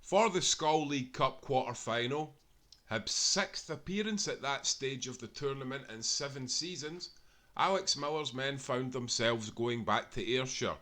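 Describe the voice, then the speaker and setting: A man reading a football history book aloud in a steady, measured way, speaking as a proud supporter of his club. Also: mid-range voice. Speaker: audiobook reader.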